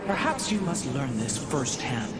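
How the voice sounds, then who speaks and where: An actor playing a wary, insistent alien adviser in a video game cutscene, formal and admonishing. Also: male voice, medium pitch, sing-song, measured pace. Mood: warning. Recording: very noisy game scene ambience.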